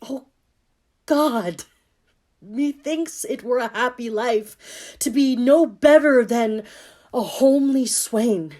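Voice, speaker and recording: Woman acting a slow, sorrowful gentle king. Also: a little high. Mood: melancholy musing. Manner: mournful, measured verse. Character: gentle, sorrowful, reluctant king, weary of war. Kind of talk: Shakespearean audio drama.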